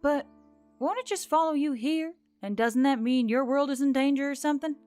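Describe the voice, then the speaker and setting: A woman's voice: high voice, moderate pace, a little mellow, soft background music, pitched above the performer's own voice, lightly acted. A woman voicing a reluctant, frustrated young heroine with a sardonic, informal manner, in an audiobook story. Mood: worried.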